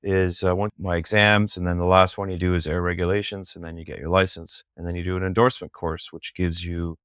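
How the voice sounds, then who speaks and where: A middle-aged man with a low, smooth, resonant voice, chatting as a podcast guest. He tells long, eager, rambling stories. The sound is close and dry. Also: little pitch movement, fairly quick, a bit bright, dry recording. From Canada. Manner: enthusiastic, rambling storyteller. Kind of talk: casual chat.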